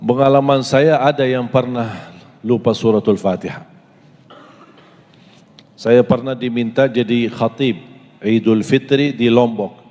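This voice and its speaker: A man's voice: mid-range voice, dark tone. Male preacher in an echoey hall. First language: Arabic. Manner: asks the audience questions, recites in Arabic, slow deliberate listing. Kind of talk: sermon to a live audience. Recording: long echoey hall reverb.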